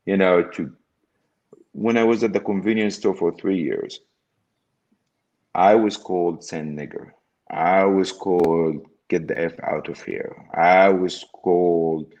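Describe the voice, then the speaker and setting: A middle-aged man from Egypt with a somewhat low voice talks as an interview guest. He is emphatic, repeats key phrases and keeps checking in with "okay?" as he tells his story. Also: darkish tone, dull audio.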